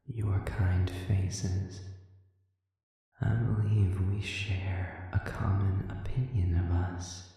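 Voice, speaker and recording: A man playing a sadistic, coolly amused demon in an audio roleplay, speaking in a measured, silky, condescending way with soft edges that slip toward a whisper. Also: low-pitched. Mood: musing, sardonic. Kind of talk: scripted audio roleplay monologue. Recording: very echoey reverberant room, distant.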